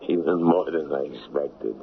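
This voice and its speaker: Radio drama: scheming male crook, gruff and gravelly, slangy, noisy vintage recording. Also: very deep voice, normal speed. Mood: sly, confident. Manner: clipped, slangy, tough talk. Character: gruff, scheming male drug smuggler, sweating and impatient. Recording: scratchy narrow-band vintage radio recording.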